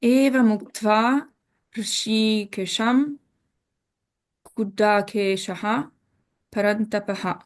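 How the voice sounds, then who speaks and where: A woman from Italy, a native Italian speaker, carefully reciting verse as a student in an online class. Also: medium pitch.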